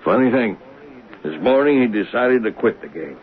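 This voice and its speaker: A tinny 1970s radio drama recording carries an actor as a thoughtful man weighing suspects. His voice is fairly low, musing and matter-of-fact. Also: animated, moderate pace, natural voice.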